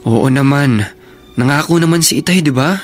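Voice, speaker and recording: An actor playing a steadfast, protective college-age elder brother in a Filipino radio drama, firm and reassuring, with a Filipino accent. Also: male voice, fairly high pitch, moderate pace, lightly acted. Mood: consoling.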